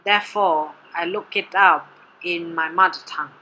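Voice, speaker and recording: A woman whose first language is Mandarin, reading her art research presentation in a measured, deliberate way. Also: on the low side, fairly even intonation, bright, forceful tone, rough recording. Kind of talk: read-aloud research presentation.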